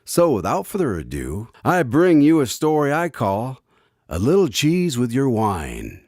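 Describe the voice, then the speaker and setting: A man's voice: medium pitch, wildly swooping pitch, unhurried, darkish tone. A man acting a chatty, blunt, folksy fellow who tells his own adventure in a radio drama. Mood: storytelling. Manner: folksy, tactless.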